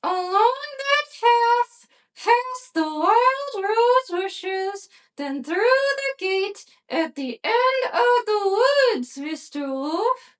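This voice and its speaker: A woman voicing a sweet young girl in a red cloak through a ventriloquist doll, speaking high, slow and sing-song. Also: very animated, full character voice. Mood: innocent.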